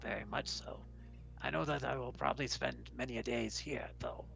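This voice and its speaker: A man voicing a courteous, scholarly metal construct who speaks in formal, measured phrases for a tabletop game. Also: mid-range voice, very animated, gravelly, a bit bright, faint music behind, heavily acted. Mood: courteous, solemn.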